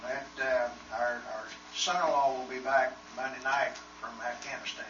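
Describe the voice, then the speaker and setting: A man's voice: medium pitch, level tone, normal speed, a bit bright, lo-fi sound. An older man in the audience, a grandfather, making chatty remarks to the speaker. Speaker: audience member. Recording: roomy, distant, noisy recording.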